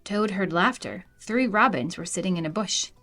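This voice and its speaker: A woman reading a children's storybook aloud in an animated narrating style. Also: fairly low pitch, normal speed, soft background music. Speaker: storybook reader.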